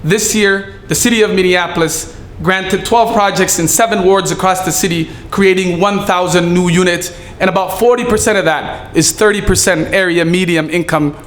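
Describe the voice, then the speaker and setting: A man giving a prepared speech at a community event, delivered earnestly and measured, with some halting fillers. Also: high voice, steady tone, a bit bright. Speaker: city staffer giving a speech. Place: event hall. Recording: echoey room with faint ambience.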